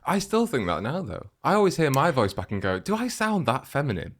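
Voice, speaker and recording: A man's voice: huge pitch swings, brisk. A man co-hosting a podcast, chatting casually with teasing, dry jokes. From United Kingdom. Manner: teasing, dry jokes, low murmured agreements. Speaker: podcast co-host. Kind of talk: casual chat.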